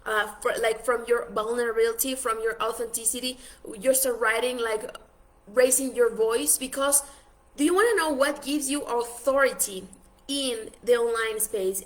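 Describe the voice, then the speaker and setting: Earnest Ecuadorian woman coaching, high voice. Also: poor audio. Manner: earnest, many restarts and fillers. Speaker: business coach. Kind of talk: online masterclass talk.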